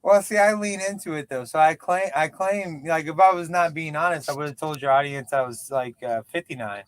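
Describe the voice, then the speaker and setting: Guest in his late thirties, higher voice, dry close sound, jokey and self-mocking. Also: fairly quick, clear, resonant tone, no echo. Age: 30s. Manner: joking, self-deprecating, repeats words. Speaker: podcast guest. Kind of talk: casual chat.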